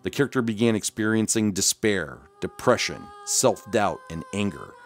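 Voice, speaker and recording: A man's voice: lowish voice, animated, normal speed, soft background music. A man hosting and narrating a scripted documentary-style video.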